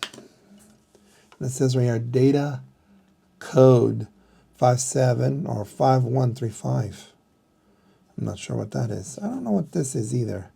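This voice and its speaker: A middle-aged man giving a casual hands-on explanation in a halting manner, as if thinking aloud. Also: mid-range voice, expressive, creaky, mellow, husky. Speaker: vlogger showing a vintage radio.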